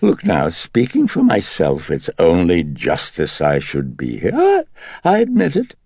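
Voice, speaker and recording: A man's voice: low-pitched, huge pitch swings, measured pace, darkish tone, husky, no room sound, voice pushed up, heavily acted. A man reading aloud and voicing a demon that speaks as a dead man, wheedling, whiny and profane. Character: wheedling, foul-mouthed male demon posing as a dead man.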